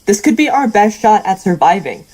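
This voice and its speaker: A woman's voice: medium pitch, very animated, normal speed, mellow, a little hiss or hum, lightly acted, low-quality recording. A woman acting as a blunt, dry, cynical ranch hand who yearns for a better life, in an audio drama. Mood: pleading, frustrated.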